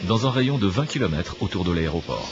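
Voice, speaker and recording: A man reading a scripted documentary narration in a measured, didactic manner. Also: low voice, big pitch swings, lo-fi sound, noisy background. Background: clear background music.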